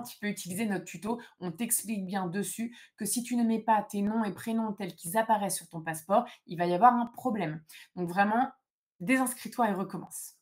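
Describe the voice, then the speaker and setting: Woman giving casual, emphatic live advice. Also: medium pitch. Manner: emphatic, direct, advising listeners. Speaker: host of a live question-and-answer session. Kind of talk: casual informal live Q&A, explaining procedures.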